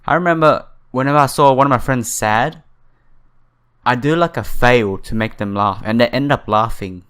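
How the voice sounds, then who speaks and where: A man reading a visual novel aloud and commenting casually as a let's player, halting and informal. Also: medium pitch, a bit bright, clear, resonant tone. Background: game music.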